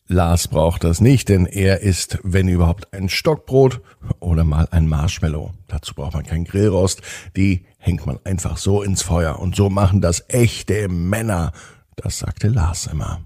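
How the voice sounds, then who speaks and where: Male narrator for kids' bedtime tales: dark, mellow tone, slow and gentle delivery with dramatic pauses. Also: low voice, no echo, clean studio sound. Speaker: children's bedtime podcast storyteller. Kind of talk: bedtime story narration for children.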